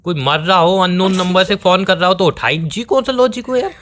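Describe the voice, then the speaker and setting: A man's voice: high-pitched, a little fast, a bit bright. A young man playing an exasperated boyfriend who pleads and placates, repeating himself in a relationship drama. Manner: pleading, repetitive, whiny protest.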